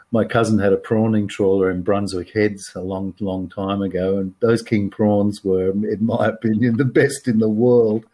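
Low, mellow Australian older man. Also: breathy edge. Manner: frank, repeats words often while thinking. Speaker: guest. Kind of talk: casual interview chat.

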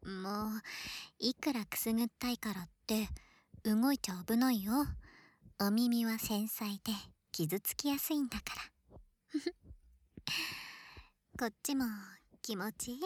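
A woman playing an affectionate, teasing young girl best friend in an ASMR roleplay, playful and breathy, often slipping into whispers close to the microphone. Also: a little high, unhurried, pauses often, darkish tone. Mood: gentle soothing. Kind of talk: Japanese ASMR situation voice drama, close intimate roleplay. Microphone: close ear-to-ear ASMR microphone.

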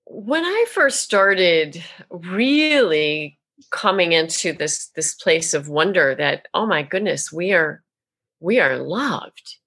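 Animated woman storyteller with a forceful voice. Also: lowish voice, slow pace. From Tennessee, US. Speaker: guest, author and minister. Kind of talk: casual interview talk.

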